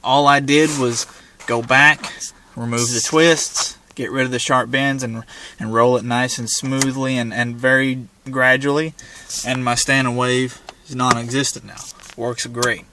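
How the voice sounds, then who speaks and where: A man's voice: mid-range voice, flat delivery, a little slow, hardly any pauses, pushed and bright, slight background noise. A man giving a casual hands-on how-to demonstration, talking in a plain, folksy, informal way. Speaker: amateur how-to presenter. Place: in and around parked vehicles.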